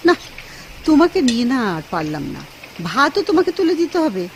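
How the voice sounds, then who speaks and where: Expressive, easily hurt young wife. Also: female voice, high-pitched, moderate pace, a little hiss or hum, rough recording. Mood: chiding. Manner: expressive, chiding, plaintive. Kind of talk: Bengali radio play acting. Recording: music under the dialogue. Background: background music.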